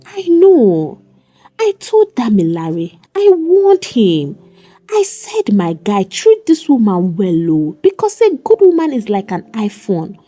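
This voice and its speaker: A woman voicing a playful, smitten young man who flatters dramatically in a folk tale. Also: mid-range voice, slow, soft background music, pitched above the performer's own voice, heavily acted. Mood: playful, dramatic.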